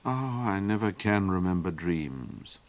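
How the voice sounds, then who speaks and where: A man voicing a world-weary, tearless woman in her late thirties for a storybook, speaking in a slow, mournful way. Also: low-pitched, sing-song, no room sound, pitched below the performer's own voice, heavily acted. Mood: resigned.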